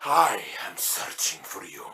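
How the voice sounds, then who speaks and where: A man's voice: deep voice, big pitch swings, slow, creaky, pushed and bright, full character voice. An actor playing a devoted robot warrior in a video game, solemn, grand and earnest in his declamatory delivery. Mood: fervent, solemn. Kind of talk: dramatic video game voice acting.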